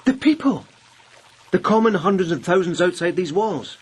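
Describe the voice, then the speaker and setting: An actor playing a plainspoken, brooding police lieutenant of humble birth in a radio drama. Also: male voice, sits high, expressive, normal speed, slightly rough. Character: rough-born police lieutenant, brooding and wry.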